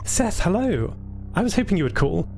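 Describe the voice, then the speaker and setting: A man's voice: high-pitched, very animated, moderate pace, vocal fry, darkish tone, soft background music, pitched above the performer's own voice, exaggerated voice. A man voicing a friendly, anxious female artificial intelligence who talks in a chatty, rambling way. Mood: worried, friendly.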